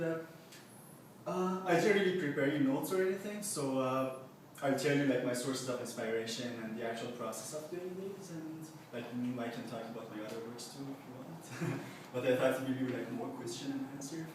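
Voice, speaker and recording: A young man whose first language is Farsi speaks about his own paintings with no notes, halting and loose, full of filler words and restarts. His voice sits at an average pitch for a man. He is heard from a distance in a very echoey exhibition room, with faint room noise behind him. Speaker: artist presenting his paintings. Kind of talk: unscripted gallery talk. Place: art exhibition space indoors.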